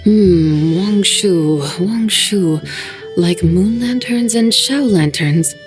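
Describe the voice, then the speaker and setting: A woman's voice: mid-range voice, drawn-out words. A woman playing a lofty, eccentric immortal horse adept in a video game, speaking in grand, archaic, poetic phrases. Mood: proud, playful. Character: lofty, eccentric female horse adept, ancient, proud, theatrical. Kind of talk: video game character acting. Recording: faint background music behind the voice.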